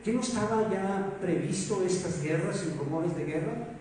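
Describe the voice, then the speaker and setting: A man with a Mexican Spanish accent preaching a sermon as pastor, asking rhetorical questions and swinging between loud emphasis and soft asides. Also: high voice, normal speed, slight background noise. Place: church hall. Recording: echoey hall, mic far off.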